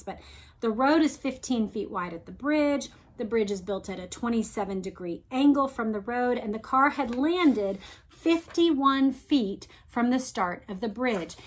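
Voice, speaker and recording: A woman hosting a read-along, reading aloud and adding emphatic explanations addressed directly to listeners. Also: on the high side. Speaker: host of a read-along discussion.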